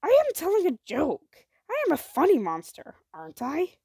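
Woman voicing a gravelly, friendly little storybook monster. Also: sits high, wildly swooping pitch, moderate pace, a little mellow, dry recording, studio quality, voice pushed up, heavily acted. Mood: hopeful, joking. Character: friendly, joking male monster with big eyes.

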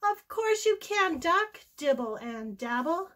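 Woman as cheerful child, high and bright. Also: sing-song, slow pace, exaggerated voice. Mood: welcoming. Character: cheerful welcoming young children in a storybook.

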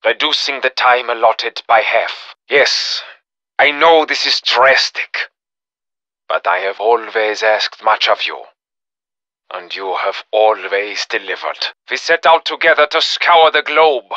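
An actor playing a cold, cruel, fanatical German military officer with a German accent, a theatrical and commanding video game villain. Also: male voice, medium pitch, animated, pushed and bright, dry recording, dull audio, heavily acted. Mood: commanding, rallying. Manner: theatrical, commanding, contemptuous, deliberate. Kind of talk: video game villain voice acting, menacing monologue.

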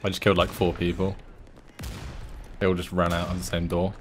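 Low-voiced man gaming amid gunfire. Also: completely flat delivery, fairly quick, a little hiss or hum. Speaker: video game player. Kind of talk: casual gaming chat with a teammate. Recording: gunfire and artillery behind voice. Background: video game gunfire and artillery.

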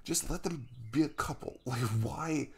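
A man's voice: fairly high pitch, measured pace, creaky, breathy edge, heavily acted. A man acting an affectionate, earnest, chatty young crush in an intimate ASMR roleplay, rambling playfully in a casual way. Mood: casual, reassuring.

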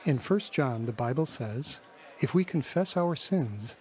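An actor playing a reassuring church pastor in a radio drama. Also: male voice, medium pitch, animated, a little slow, a little mellow, close to an everyday voice. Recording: slight noise and ambience.

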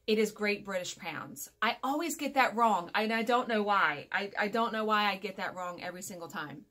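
A woman's voice: mid-range voice, moderate pace, fairly bright tone. A woman in her forties chatting casually to viewers in a rambling, emphatic way, often correcting herself. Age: 40s. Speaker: vlogger. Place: small furnished room at home, indoors.

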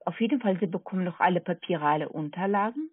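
Foreign accented woman on a tinny phone line, non-native german, bit husky, call centre sales, keeps double checking stuff. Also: lowish voice, lively intonation, fast talker, no echo. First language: not German. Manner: hesitant, checking and repeating details.

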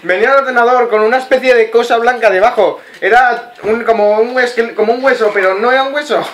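Man playing a high-voiced bumbling Spanish caller. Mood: sheepish. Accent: Spain Spanish. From Spain. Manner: halting, slow to answer, playing confused. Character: bumbling, clueless computer owner calling support. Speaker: prank caller. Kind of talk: prank phone call. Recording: echoey, noisy phone prank recording.

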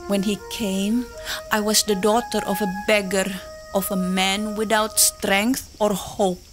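A fierce, desperate young island woman pours out her heart in slow, poetic pleading. Her voice sits slightly high and unhurried. Faint music plays beneath this audio drama. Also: a bit bright. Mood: impassioned. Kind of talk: full-cast audio drama.

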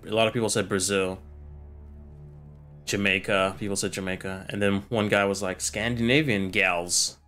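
A young man chatting casually with friends while gaming, relaxed and laid-back. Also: lowish voice, moderate pace, soft background music. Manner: relaxed, laid-back, says bro and dude.

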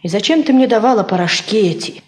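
A woman's voice: mid-range voice, expressive, fast, darkish tone, natural voice. A woman acting an anxious, worn-out peasant wife in a Soviet radio play, with rustic, lamenting speech. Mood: weary, anxious. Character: anxious, worn-out peasant wife plotting against her husband. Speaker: radio drama actress. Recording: 1950s radio play recording.

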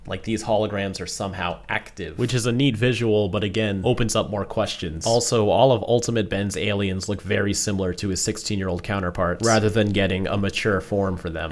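A young man with a fairly low voice talks through cartoon footage as a reviewer. His delivery is casual and conversational, while he analyses details and gives his opinions freely. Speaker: video reviewer. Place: small indoor room at home.

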